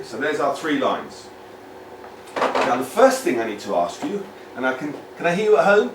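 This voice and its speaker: A British man from the United Kingdom, an English teacher giving a lively lesson to children, repeating words and asking the viewer questions. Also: fairly high pitch, a little hiss or hum. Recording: echoey room, mic at a distance.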